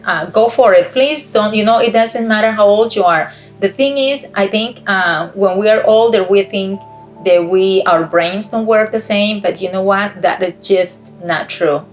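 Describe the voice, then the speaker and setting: A middle-aged woman from Costa Rica with a Costa Rican accent, giving an earnest, encouraging personal testimony over a video call. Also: mid-range voice. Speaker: guest speaker.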